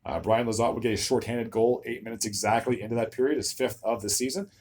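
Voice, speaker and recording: A young man hosting a solo hockey recap video, his voice a bit raspy from recording several videos in a row, stumbling over player names and filling gaps with "uh". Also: mid-range voice, steady tone, fast, a little mellow, slight background noise. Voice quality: slightly raspy, tired voice.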